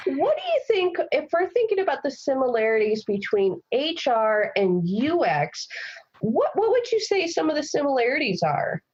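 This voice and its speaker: A woman hosting a podcast interview, chatting casually and gushing with excitement. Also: sits high, normal speed, muffled recording. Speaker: podcast host.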